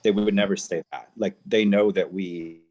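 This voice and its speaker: A man's voice: sits low, fairly even intonation, normal speed, darkish tone. A man who works as a teacher asks questions in a discussion, circling around his point and searching for words. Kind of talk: panel discussion.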